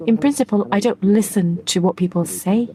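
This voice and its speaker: A woman's voice: medium pitch, normal speed, mellow. A woman giving live spoken interpretation of a forum speaker's remarks.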